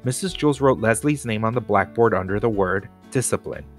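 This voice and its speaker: A man's voice: lowish voice, a little fast, soft background music. A man narrating a children's storybook aloud as a scripted reading. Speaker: storybook reader.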